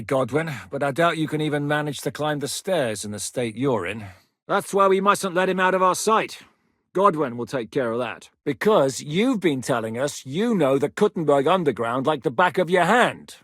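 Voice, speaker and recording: An actor playing a shrewd, resolute medieval rebel captain who speaks plainly and with authority in a video game. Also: male voice, a little high, expressive, moderate pace, fairly bright tone, dry recording, clean studio sound. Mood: wry, then forceful, impatient. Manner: authoritative, decisive, plain-spoken. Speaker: military leader planning operations. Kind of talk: video game dialogue acting.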